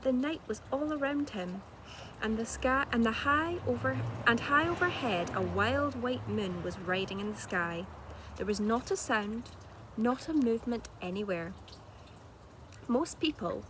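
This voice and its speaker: A woman with a slightly high voice reads a children's story aloud. Her delivery is measured and dramatic, like a teacher's. There is clear noise in the recording. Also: a bit bright. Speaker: primary school teacher reading aloud. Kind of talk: expressive children's storybook narration. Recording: clearly noisy home-made recording.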